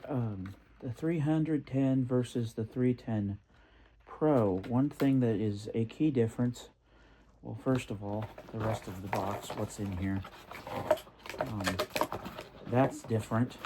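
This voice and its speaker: A man giving a casual spoken product comparison, thinking aloud in a halting, methodical way. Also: mid-range voice, dark tone, slight background noise, low-quality recording. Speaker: product reviewer.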